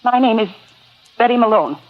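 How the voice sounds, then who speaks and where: A woman's voice: a little high, expressive, fairly quick, lightly acted. An actress playing an earnest, anxious young woman in old-time radio melodrama style. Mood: accusing, urgent. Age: young adult. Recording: noisy, dull vintage radio recording.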